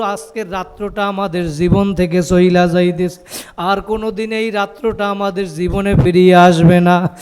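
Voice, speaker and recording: A man's voice: sits high, clean tone. A man with a Bangladeshi accent preaching an impassioned Islamic sermon, stretching words into chanting calls and putting rhetorical questions to his listeners. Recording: long hall reverb, public-address sound.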